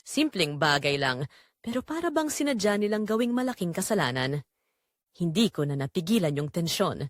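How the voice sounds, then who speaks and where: Female narrator for romance audio drama: intimate, suspenseful phrasing, lively swings in pitch, dry recording. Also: moderate pace, no echo.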